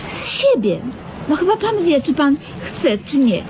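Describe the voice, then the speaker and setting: A woman's voice: sits high, sing-song, a little slow. An actress playing an exasperated, fussy woman in a comedic radio play, explaining things with flustered stammers. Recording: noisy old radio play recording.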